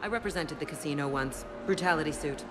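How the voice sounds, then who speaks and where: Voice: mid-range voice, quick pace, natural voice. An actor playing a slick, chatty lawyer in a video game, breezy and candid. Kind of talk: video game cutscene dialogue. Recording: clear music behind voice.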